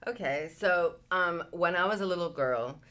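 A woman from Peru, a guest entrepreneur, telling her life story in a relaxed, chatty interview with frequent "like" fillers. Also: lowish voice, moderate pace.

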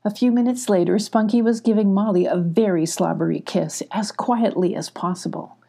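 An older woman narrates for children in a mellow, husky voice. She hosts her own story time and talks straight to the listener in simple, inviting phrases. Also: mid-range voice, moderate pace. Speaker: host and author reading a children's story.

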